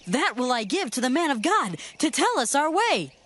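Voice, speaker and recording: A woman's voice: high-pitched, very animated, moderate pace, a bit bright. A woman voicing an eager, helpful young male servant in a dramatized scripture story.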